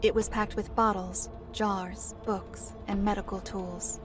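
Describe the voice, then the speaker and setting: An actress playing a formal, matter-of-fact storyteller who recounts a dark fantasy history in a measured way. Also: female voice, mid-range voice, little pitch movement, natural voice. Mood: grave narration. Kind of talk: scripted fantasy narration. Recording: faint music behind the voice. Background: music.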